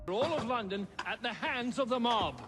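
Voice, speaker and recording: An actor playing an agitated Victorian gentleman in a game, formal and indignant. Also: extremely high pitch, expressive, moderate pace, over music. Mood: alarmed indignation. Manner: formal, alarmed. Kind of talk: dramatic game cutscene acting.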